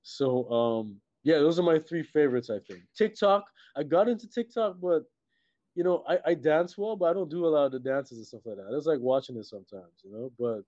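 Male interview guest, middle-aged, from Trinidad and Tobago; relaxed tone, halting casual delivery, dull video-call sound. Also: fairly high pitch, dry recording. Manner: halting, repeats words, peppers talk with "you know" and "like". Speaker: guest, filmmaker and musician.

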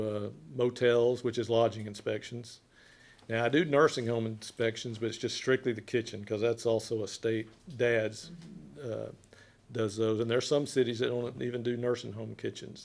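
An older man with a loud voice, a health inspector giving an informal, folksy presentation to a committee. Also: medium pitch, creaky. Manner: folksy, plain-spoken. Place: wood-panelled council chamber.

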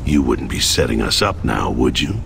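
An actor playing a gruff, battle-hardened squad leader, terse and grim, in a video game cutscene. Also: male voice, extremely low pitch, big pitch swings, moderate pace, noisy background, heavily acted. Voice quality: gravelly. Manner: terse, commanding.

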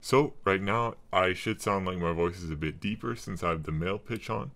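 A man hosting a casual software tutorial through a voice changer that makes him sound deeper. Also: moderate pace, gravelly. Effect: voice changer pitched deeper. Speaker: tutorial host.

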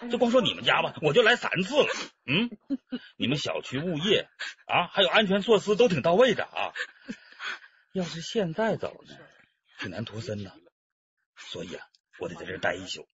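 Swaggering, animated Northeastern Chinese robber. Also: male voice, on the high side, moderate pace, long pauses, muffled recording. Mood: teasing menace. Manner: folksy, mocking, drawling colloquial talk. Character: smug, chatty male robber toying with his captive. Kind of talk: radio drama acting.

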